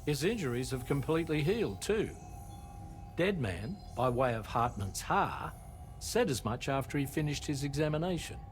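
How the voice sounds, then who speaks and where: A man's voice: mid-range voice, very animated, normal speed, soft background music, close to an everyday voice. An actor playing a composed male ship's officer in a video game, giving formal, articulate briefings. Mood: composed, businesslike. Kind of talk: video game cutscene acting.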